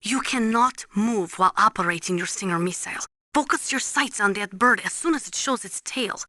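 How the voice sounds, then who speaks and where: A blunt female military analyst in a video game, played by an actress. Her voice is bright and forceful at a middling pitch, recorded very dry. She gives precise, matter-of-fact instruction like a weapons expert. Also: normal speed, dry recording, lightly acted. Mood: matter-of-fact, urgent. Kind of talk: video game radio briefing.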